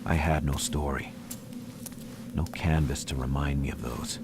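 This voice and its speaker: A brooding, haunted narrator. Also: male voice, a bass voice. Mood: somber. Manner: slow, somber, monotone, with hushed whispered lines. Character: brooding, haunted man lost in a dark mansion. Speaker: game protagonist narrator. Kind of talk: scripted game narration. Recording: clear ambient noise behind the voice.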